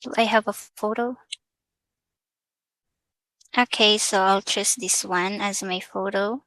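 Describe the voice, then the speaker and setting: A woman's voice: medium pitch, steady tone, slow, a bit bright, no room sound, poor audio. A woman from the Philippines with a Filipino accent, speaking English as a second language after Tagalog, walking viewers through a sign-up in a hesitant, casual way and slipping into Tagalog now and then. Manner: hesitant, mixing English with Tagalog, frequent fillers. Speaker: vlogger. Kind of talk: casual screen-share tutorial.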